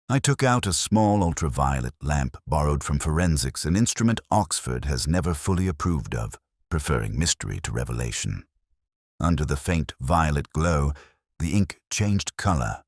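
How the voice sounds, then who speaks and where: A man acts out a weary, reflective police inspector telling his case as a scripted mystery. The voice sits extremely low yet moves expressively up and down, recorded dry and close. The delivery is measured, literary and brooding. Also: dry recording, heavily acted. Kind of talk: scripted first-person mystery narration.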